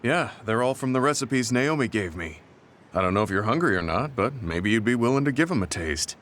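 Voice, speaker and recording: An actor playing a weary, remorseful veteran soldier in a video game, speaking in an earnest, apologetic and measured way. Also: male voice, mid-range voice, expressive, a bit bright, a little hiss or hum, natural voice. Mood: humble, gentle. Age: older. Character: weary, remorseful veteran male soldier, humble and self-reproachful. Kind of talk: video game English voice acting. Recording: game music behind the voice. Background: game music.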